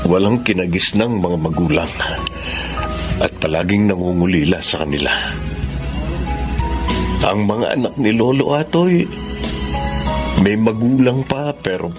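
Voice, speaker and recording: A man playing a pensive, tender-hearted middle-aged Filipino father, speaking in a measured, earnest melodrama style. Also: on the low side. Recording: music playing behind the voice.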